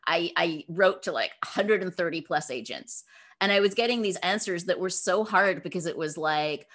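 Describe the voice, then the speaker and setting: A woman speaking as a guest author in an interview, informal and emphatic, with animated conversational answers. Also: on the low side, moderate pace. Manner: emphatic, informal, acts out imagined dialogue.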